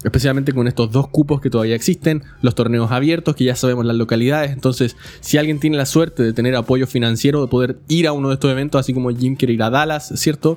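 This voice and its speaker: Chilean guy doing a podcast, chatty and explaining stuff, average pitch. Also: steady tone, fast talker, hardly any pauses, darkish tone. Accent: Chilean Spanish. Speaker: podcast host. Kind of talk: casual podcast talk about esports.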